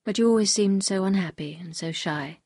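A woman voicing a bitter, anguished upper-class husband in an audiobook, speaking gravely and confessionally. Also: medium pitch, normal speed, a little mellow, no room sound, clean studio sound. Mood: grave, low. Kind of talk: audiobook character dialogue.

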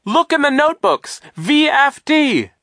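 Man acting out a high, urgent captive boy. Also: very animated, measured pace, sharp tone, no echo, studio quality, heavily acted. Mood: screaming. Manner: insistent, screaming. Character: terrified captive boy urgently shouting warnings to his friend.

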